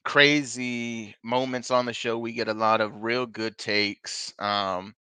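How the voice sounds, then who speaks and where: A man's voice: medium pitch, level tone, slow, sharp tone, clear, resonant tone, dry recording. A man co-hosting a casual live wrestling podcast from a bar, upbeat and plugging the show between topics. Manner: upbeat, plugging social media, teasing. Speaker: podcast co-host.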